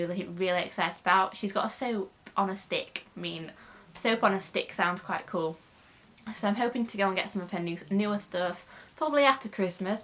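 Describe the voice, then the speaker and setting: Young british girl vlogging, bit nasal from a cold, rambly and chatty, bright voice, lowish. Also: poor audio. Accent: British English. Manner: rambling, chatty, with frequent fillers. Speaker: YouTuber. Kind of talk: casual chatty vlog monologue.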